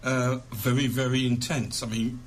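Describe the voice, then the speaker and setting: A man's voice: medium pitch, level tone, moderate pace, a little hiss or hum. A man being interviewed as an author, chatting conversationally with self-deprecating humour.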